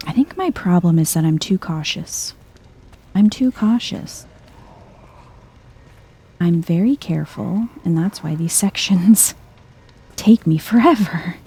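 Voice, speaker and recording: A soft-spoken woman giving chill, understated game commentary in an ASMR style, musing aloud as she plays. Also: fairly low pitch, lively intonation, slow pace, long pauses, husky. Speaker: video game streamer.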